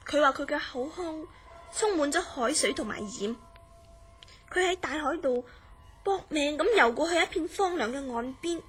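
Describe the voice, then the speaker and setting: An actress plays an earnest woman in a Cantonese horror radio play, with a Hong Kong accent. Her voice is high and she recounts uncanny dreams in a serious, measured way. Faint music sits behind her. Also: expressive. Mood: earnest, eerie. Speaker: radio drama actor. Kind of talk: radio drama dialogue, recounting a strange story.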